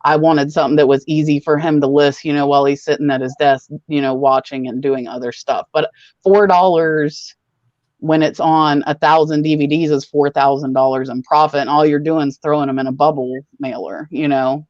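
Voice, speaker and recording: A chatty woman hosts a casual livestream and rambles along in a brisk, matter-of-fact way. Her voice comes through dull and lo-fi. The sound is dry, with no room echo. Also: sits low, steady tone, a little mellow. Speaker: host.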